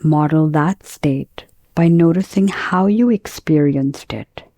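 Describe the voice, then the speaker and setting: A woman teaching guided meditation, speaking in a calm, gentle, measured way with pauses between phrases. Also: low voice, no room sound.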